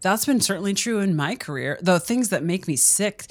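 A woman's voice: mid-range voice, expressive, moderate pace, dry recording. A middle-aged woman co-hosting a podcast, chatting casually and laughing easily. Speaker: podcast co-host. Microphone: studio microphone.

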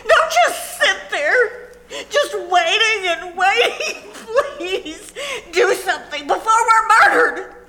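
A woman's voice: very high-pitched, animated, takes it slowly, bright, forceful tone, heavily acted. A woman acting a terrified, hysterical wife in an old-time radio suspense play, tearful and melodramatic. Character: hysterical, terrified wife begging her husband to act.

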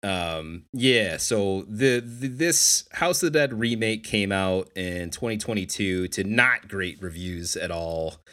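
A man with a very low-pitched voice co-hosts a gaming podcast. He talks in a casual, conversational way with a wry edge. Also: lively intonation, slow pace, hardly any pauses, fairly bright tone. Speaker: podcast co-host. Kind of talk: casual chat about video games.

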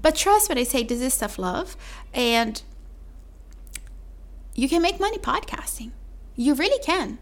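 A woman hosting her own podcast, talking chattily to the listener with a mellow voice and calling them "my love.". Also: sits high, very animated, unhurried, long pauses. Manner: chatty, calls listener "my love", says "like" often. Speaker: podcast host. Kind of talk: solo podcast sales pitch.

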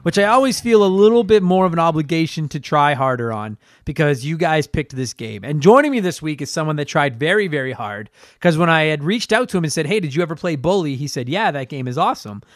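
High-voiced, swearing podcast host man. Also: expressive, fast, few pauses. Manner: enthusiastic, swears freely. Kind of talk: casual podcast chat.

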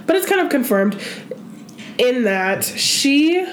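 A woman's voice: high voice, big pitch swings, slow, slight background noise, low-quality recording. Congested woman chatting on a podcast. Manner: chatty, laughs easily, sings a line. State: congested from a cold. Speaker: podcast co-host. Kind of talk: casual true-crime chat.

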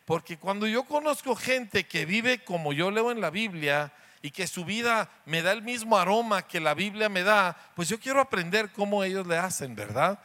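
A man's voice: high-pitched, expressive, normal speed, hardly any pauses, bright, forceful tone. A man with a Mexican Spanish accent, a pastor introducing guests to his church in a joking, chatty way that keeps asking the audience questions.

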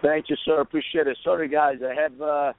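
A man from Italy with an Italian accent and a hoarse, worn voice, answering interview questions in a rambling way. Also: fairly high pitch, flat delivery, a little fast. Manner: rambling, restarts, listing. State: hoarse, losing his voice. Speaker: guest. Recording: dry phone line.

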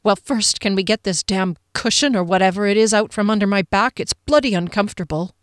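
A woman's voice: medium pitch, a little fast, no room sound, lightly acted. Woman reading as a desperate young swordswoman, breathless, pleading, strained, dry close audio. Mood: irritable. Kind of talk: audiobook character voice.

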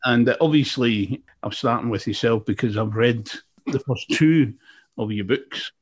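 A man's voice: medium pitch, a little slow. A man with a Glasgow Scottish accent, a presenter chatting casually with an author, with plenty of ums and restarts. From Scotland, Glasgow. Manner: chatty, many fillers and restarts. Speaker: interviewer, radio presenter. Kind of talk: casual interview chat.

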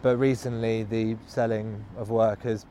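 A man talking in a thoughtful, halting way, with frequent restarts, while walking outdoors. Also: fairly low pitch, little pitch movement, noisy background. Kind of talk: casual conversation. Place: walking outdoors by a cycle path in a city.

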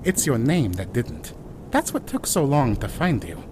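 Middle-aged rookie detective, hugely animated pitch, eager and flustered, comic noir radio play, clear rumbling noise behind. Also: male voice, measured pace. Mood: stern, official. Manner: flustered, theatrical. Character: eager, fumbling overweight man over fifty, would-be detective. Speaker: actor in an audio play.

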